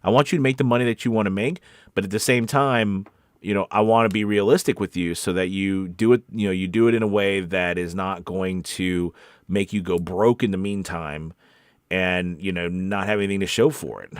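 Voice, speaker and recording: A man with a fairly low voice hosts a casual question and answer talk as a coach. He speaks candidly and encouragingly, often repeating a point for emphasis. The sound is close and dry. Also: steady tone, moderate pace, clear, resonant tone, no echo. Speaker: voice actor and coach hosting a live Q&A. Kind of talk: casual, off-the-cuff advice talk.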